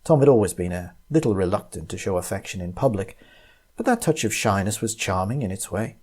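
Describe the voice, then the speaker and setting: Low, mellow male storyteller. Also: expressive, a little fast, slightly rough. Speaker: author reading own short story. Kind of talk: scripted story narration.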